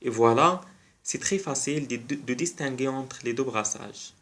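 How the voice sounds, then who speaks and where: A man explaining a biology topic at a measured, deliberate pace. French is not his first language. He repeats his key terms so the point sinks in. His voice sits at an ordinary middle pitch. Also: a bit bright. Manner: careful, repeats key phrases for emphasis. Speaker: biology channel host. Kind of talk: educational explainer.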